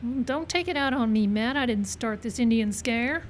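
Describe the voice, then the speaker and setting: A woman's voice: a little high, moderate pace, a little hiss or hum, lightly acted. A woman playing a wry, independent frontier woman in a radio western, dry and teasing. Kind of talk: old-time radio western acting.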